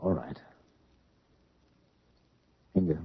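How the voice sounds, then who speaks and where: An American man playing a tormented, submissive young man in a radio drama, earnest and halting, as if confessing. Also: no room sound. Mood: cowed, hesitant. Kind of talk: 1970s radio drama acting. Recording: muffled old radio drama recording.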